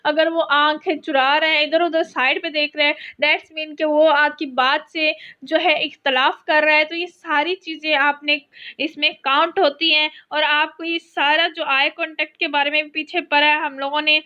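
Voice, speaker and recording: A woman's voice: high voice, flat delivery, normal speed, bright, forceful tone, highs cut off. A woman lecturer explaining a course topic step by step, repeating her points and mixing in English terms. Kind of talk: recorded university lecture.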